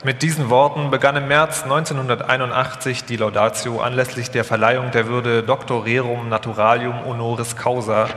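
A man's voice: medium pitch, fairly bright tone. A man reading scripted documentary narration live, as the narrator of a radio feature. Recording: echoey hall, long reverb.

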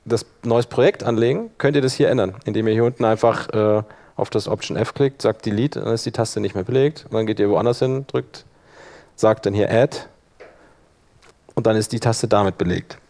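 A man giving an informal live software tutorial as workshop instructor, thinking aloud while he demonstrates. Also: fairly low pitch, moderate pace.

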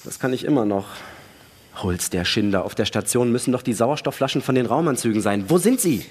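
A man playing a desperate, restless astronaut in a science fiction radio play, husky and halting. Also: medium pitch, animated, a little mellow. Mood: desperate, insistent. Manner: restless, halting, prone to cursing. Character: agitated, desperate male astronaut on a moon station.

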